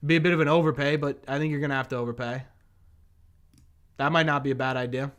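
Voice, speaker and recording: A hoarse young man with a New England accent, hosting a casual live-stream sports chat, rambling and thinking aloud as he answers viewers. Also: medium pitch, steady tone, fast talker, a bit bright. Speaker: sports podcast host.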